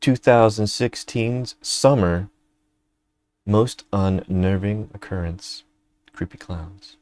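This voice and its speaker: A man's voice: on the low side, darkish tone. A man co-hosting a casual live stream, laughing often and reading chat and articles aloud. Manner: laid-back, laughing often, reads aloud haltingly. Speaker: streamer co-host.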